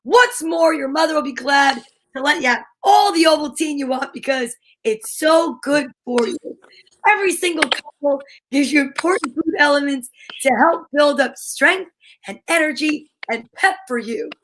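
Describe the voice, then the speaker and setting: A woman playing an old-time radio announcer, pitching and narrating a children's adventure serial. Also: normal speed, a bit bright, a little hiss or hum, poor audio. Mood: eager selling. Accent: put-on French, then Jersey. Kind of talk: 1930s radio show re-enactment.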